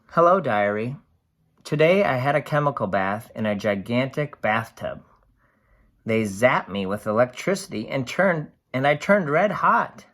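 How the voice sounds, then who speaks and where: Storybook aluminum can, acted by an adult reader: cheerful, wide-eyed, unhurried, with very animated pitch. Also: heavily acted. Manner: bubbly, wide-eyed, expressive storybook delivery. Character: cheerful, excitable aluminum can writing diary entries.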